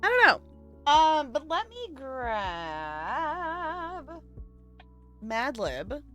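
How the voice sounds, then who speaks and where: A woman's voice: high voice, huge pitch swings, extremely slow, bright, forceful tone, faint music behind. A woman hosting a livestream, chatting casually with viewers and thinking aloud in a rambling way. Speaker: livestream host. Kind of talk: casual chat with live stream viewers.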